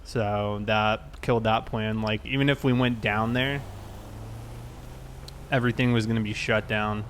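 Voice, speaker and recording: A man's voice: fairly low pitch, fairly even intonation, a little slow, a bit bright, a little hiss or hum. An American man chatting casually and in a laid-back, conversational way while livestreaming a game.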